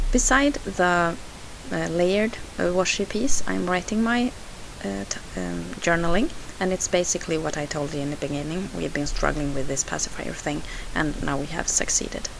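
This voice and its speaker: Low, gravelly Swedish-accented woman with hiss. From Sweden. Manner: hesitant, many "uh" fillers and restarts. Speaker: scrapbooking video host. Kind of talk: voice-over narrating a craft process. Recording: hissy home voice-over.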